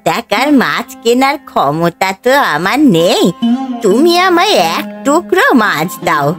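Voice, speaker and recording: A woman playing a slow, pleading old village woman. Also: on the high side, big pitch swings, a bit bright, soft background music. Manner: pleading, plaintive. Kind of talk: cartoon voice acting.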